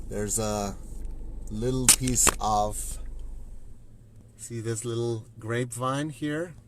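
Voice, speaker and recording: A man's voice: medium pitch, fairly even intonation, slow. A Slovenian man with a Slovenian accent guiding a city tour from a car, chatty and loose, with many fillers and hesitations. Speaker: tour guide. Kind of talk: live-streamed driving city tour. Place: inside a car, later outdoors on a city street. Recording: road and traffic noise.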